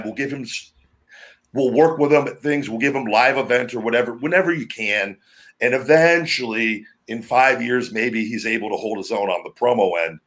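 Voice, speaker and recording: A man with a deep, heavy, booming voice, hosting a podcast in a loose, rambling, talkative way. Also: fairly bright tone. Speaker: podcast host, former pro wrestler. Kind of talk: casual podcast chat.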